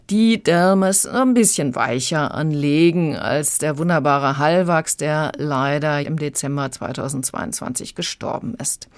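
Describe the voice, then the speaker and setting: Low-voiced woman reviewing on radio. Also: no echo. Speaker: radio book critic presenting audio dramas. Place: dry studio.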